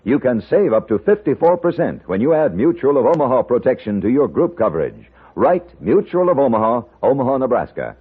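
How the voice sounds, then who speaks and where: A man with a husky voice reading a 1950s radio advertising pitch as an announcer. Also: sing-song, brisk, few pauses. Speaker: commercial announcer. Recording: muffled old radio broadcast.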